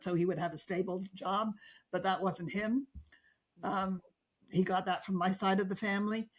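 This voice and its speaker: A woman's voice: medium pitch, husky. A middle-aged woman hosting a podcast, speaking reflectively in a casual interview chat with many fillers. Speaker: podcast host.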